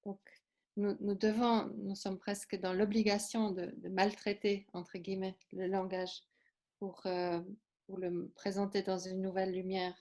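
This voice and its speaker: Flat-toned woman interpreting. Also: mid-range voice, unhurried. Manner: hesitant, many euhs and restarts. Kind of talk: consecutive interpreting.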